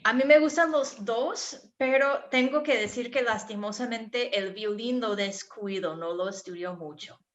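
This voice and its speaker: A woman's voice: fairly high pitch, unhurried, few pauses, highs cut off. A woman in her thirties with an American accent, a guest musician answering children's questions in friendly, simple replies. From United States. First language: English. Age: 30s.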